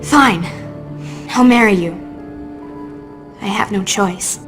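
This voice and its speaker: A woman's voice: medium pitch, slow, natural voice. An actress playing a brave, anguished teenage orphan girl in a video game, emphatic and emotional. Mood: resigned. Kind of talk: video game character acting. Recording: music behind the voice.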